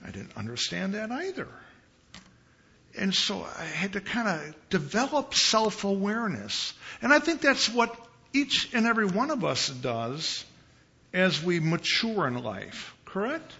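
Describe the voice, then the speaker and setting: A man from Chicago preaching as a visiting pastor in a church, with a big voice and an unhurried, conversational manner, pausing and repeating phrases for emphasis. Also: high voice, very animated, creaky, bright, forceful tone, dull audio. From Chicago, United States. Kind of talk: conversational sermon. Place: church sanctuary.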